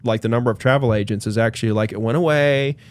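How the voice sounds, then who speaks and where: A man's voice: medium pitch, quick pace, no room sound. A man co-hosting a casual podcast chat, talking loosely with lots of fillers and restarts. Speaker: podcast co-host, real estate agent.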